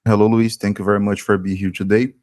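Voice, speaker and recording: A man's voice: fairly low pitch, little pitch movement, quick pace, a little mellow, dry recording, studio quality. A man in his twenties from Brazil, a student politely introducing himself and asking a question on a video call. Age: 20s.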